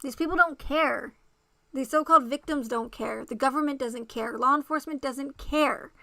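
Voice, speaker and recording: A woman hosting a casual livestream, talking straight to her viewers by name and repeating herself, her voice going raspy. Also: sits high, normal speed, pushed and bright, no echo, clean studio sound. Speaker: livestream host.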